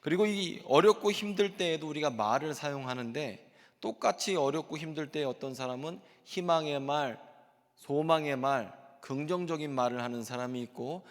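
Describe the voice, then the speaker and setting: A middle-aged male pastor preaching a sermon in a church, explaining earnestly and asking the congregation rhetorical questions. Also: on the high side. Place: church sanctuary. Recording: long reverb of a church hall.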